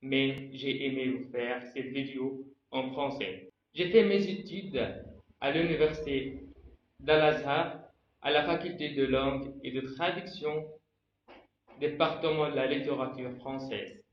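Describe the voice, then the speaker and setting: A young Egyptian man with an Egyptian Arabic accent speaks slowly and deliberately, laying out his points one by one like a teacher. His voice sits in a middle pitch. It comes through a slightly dull recording in an echoey room with a faint hum of ambience behind him. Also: steady tone. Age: 20s. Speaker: channel host. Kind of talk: measured explanatory monologue.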